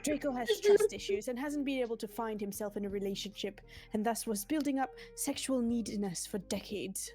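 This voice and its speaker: A woman's voice: on the high side, lively intonation, a little fast, hardly any pauses, a little mellow. A woman reading a comic fan story aloud in a dramatic narrating style on a livestream. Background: light music.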